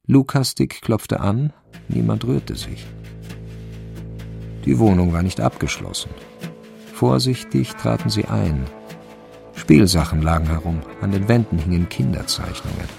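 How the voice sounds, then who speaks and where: Casting: sober male storyteller for crime audio drama, gravelly, unhurried deadpan delivery. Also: on the low side, faint music behind. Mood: dry suspense. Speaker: narrator. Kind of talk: scripted radio drama narration.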